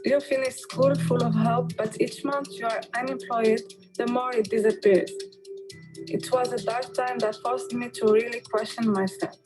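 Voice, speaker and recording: Higher-pitched young woman over prominent music. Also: steady tone, moderate pace, rough recording. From Morocco. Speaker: job training student giving testimonial. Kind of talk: scripted testimonial narration. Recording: music bed under voice-over.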